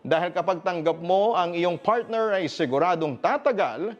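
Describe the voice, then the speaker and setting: A man's voice: sits high, normal speed, lo-fi sound. A man with a Filipino accent, a radio announcer reading a listener's letter as a dramatic scripted story. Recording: music bed under the voice. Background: instrumental music.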